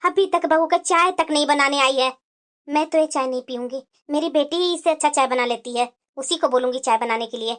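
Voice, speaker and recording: Casting: woman to play a very high-voiced cartoon mother-in-law, middle-aged, bitter, bossy, forever complaining. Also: brisk, lightly acted. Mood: grumbling, aggrieved. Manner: scolding, complaining, dramatic. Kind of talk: Hindi family cartoon dialogue.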